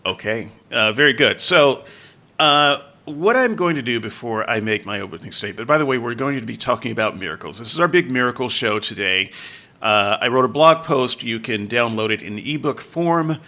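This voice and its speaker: A man hosts a casual podcast panel, his voice bright and forward at an ordinary middle pitch. He jokes his way through the introductions, leaning on plenty of "uh" fillers. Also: expressive, moderate pace. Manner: jokey, loose introductions with many fillers. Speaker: podcast host and moderator.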